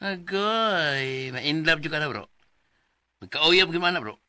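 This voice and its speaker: A young man's mocking, skeptical best buddy in a radio drama. His high voice is very sharp and exclamatory. The sound is dry and close. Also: animated, slow pace, dry recording, full character voice. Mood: amused teasing.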